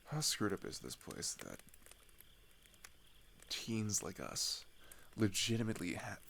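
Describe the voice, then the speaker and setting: A man playing a gravelly, weary teenage boy. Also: very animated, fairly bright tone, slight background noise, exaggerated voice. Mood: somber, disillusioned. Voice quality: low, gravelly with vocal fry. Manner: hesitant, halting, confiding, often trailing into near-whisper. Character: weary, hesitant teenage boy refugee climbing a mountain. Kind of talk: intimate ASMR roleplay audio drama.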